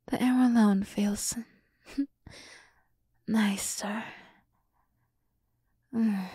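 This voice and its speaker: A woman playing a sultry, teasing demoness in an ASMR roleplay, speaking in a soft half-whisper with slow, condescendingly soothing deliberation, close to the microphone. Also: mid-range voice, husky, no room sound. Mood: languid, relishing. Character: sultry, teasing demoness summoned to serve a human.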